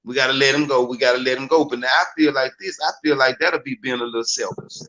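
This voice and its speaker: A man's voice: medium pitch, fairly even intonation, fast talker, pushed and bright. Slangy man hosting a live stream. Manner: repeats words, restarts, slangy. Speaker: host. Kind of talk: casual live-stream chat. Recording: muffled lo-fi stream audio.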